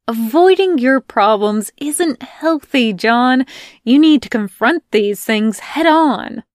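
Woman reader playing a loud, bratty young woman, high and bright, storybook podfic. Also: lively intonation, slow, dry recording, clean studio sound. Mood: teasing. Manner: loud, high-pitched teasing. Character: brash teasing young woman, loud and playful. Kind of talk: podfic character voice.

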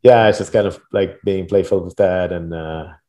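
A man's voice: sits low, moderate pace, mellow. A man from Argentina talking casually as an interview guest, in an informal way, often checking in with "right?". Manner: informal, tentative phrasing with frequent "like" and "right?". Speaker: interview guest, project creator.

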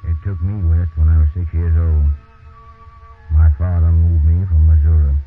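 A man's voice: very deep voice, fairly even intonation, moderate pace, faint music behind. An actor playing a weary, bitter displaced farmer, telling his tale in plain folksy phrases in a 1930s radio drama. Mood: wistful. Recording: muffled old 1930s radio recording.

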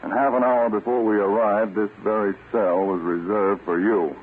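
An actor playing a stern, self-assured American government agent in a 1940s radio drama, speaking with deliberate authority. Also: male voice, on the low side, fairly even intonation, natural voice. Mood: triumphant, accusing. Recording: muffled, tinny, noisy old radio recording.

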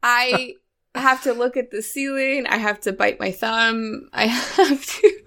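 A woman's voice: on the high side, fairly even intonation, slow pace, a bit bright. A woman co-hosting a podcast in casual chat, enthusiastic and giggly, gushing about a film. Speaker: podcast co-host.

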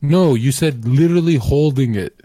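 A man's voice: mid-range voice, moderate pace, mellow, husky, dry recording. A man chatting on a podcast, joking and interrupting with mock-pompous bluster. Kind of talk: casual podcast chat.

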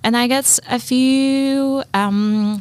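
A woman from China, a guest, talking thoughtfully about translation in a relaxed panel conversation, with frequent restarts. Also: high voice, drawn-out words. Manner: thoughtful, restarts often, laughs easily.

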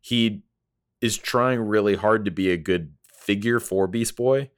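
A man's voice: fairly low pitch, measured pace, smooth, no echo, clean studio sound. A man co-hosting a casual, jokey review chat, often acting out imagined lines as he talks. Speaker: podcast co-host.

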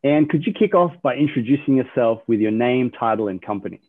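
An upbeat male podcast host walking his guests through a board game and its questions. His voice sits at an ordinary pitch and comes through a thin, phone-like line. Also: animated, brisk. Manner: upbeat, explains rules. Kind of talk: hosting a game-show style podcast.

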